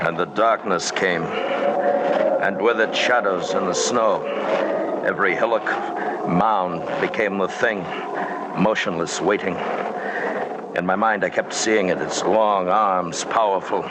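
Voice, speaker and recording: An actor in an old-time radio horror drama playing a determined expedition leader, with a tense, dramatic delivery and deliberate pauses. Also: male voice, deep voice. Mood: haunted, tense. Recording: very noisy vintage radio recording.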